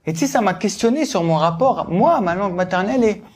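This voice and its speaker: Animated stand-up comedian, man. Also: sits high, quick pace, background noise. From Algerian family. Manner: animated, building to shouted punchlines. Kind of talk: stand-up routine.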